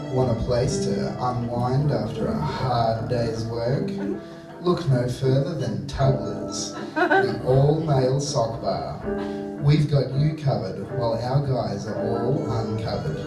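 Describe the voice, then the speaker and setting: A man's voice: mid-range voice, expressive. A man playing a suggestive male club advertiser in a spoof radio advert, drawing out his words to woo ladies. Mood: sultry, teasing. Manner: drawn-out, suggestive. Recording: echoey theatre, distant mic, music behind.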